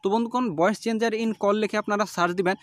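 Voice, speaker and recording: A man's voice: high-pitched, steady tone, fairly quick, no echo, studio quality. A man narrating an app tutorial as host, explaining step by step in an instructional, repetitive way.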